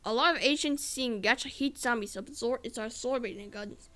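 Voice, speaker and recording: A woman improvising a rambling narration, halting and repeating herself as she searches for words. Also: on the high side, sharp tone, a little hiss or hum.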